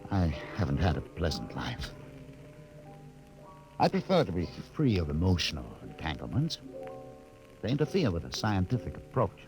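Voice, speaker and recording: Low-voiced, haunted scientist. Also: male voice, wildly swooping pitch, full character voice, low-quality recording. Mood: somber, guarded. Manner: deliberate, halting, brooding. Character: somber, haunted scientist doctor, emotionally detached survivor. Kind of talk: 1950s radio drama acting. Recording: vintage 1950 radio recording, organ-like music behind.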